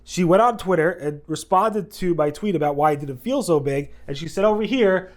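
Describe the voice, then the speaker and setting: A congested man with a head cold, hosting a casual video news recap in a rambling way, often correcting himself and adding quick asides. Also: fairly high pitch, animated.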